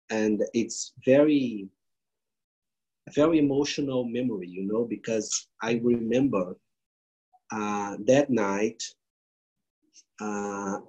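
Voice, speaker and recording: Brazilian-accented man guest, slow and husky, earnest. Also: fairly low pitch, warm tone, highs cut off. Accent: Brazilian Portuguese. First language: Portuguese. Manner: earnest, halting English with fillers. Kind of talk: interview answers.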